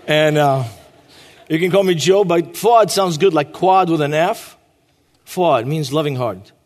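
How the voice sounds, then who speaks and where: Guest preacher, man in his 40s, high voice, long church reverb. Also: measured pace. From Lebanon. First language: Arabic. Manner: emphatic, repeats key phrases. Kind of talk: sermon.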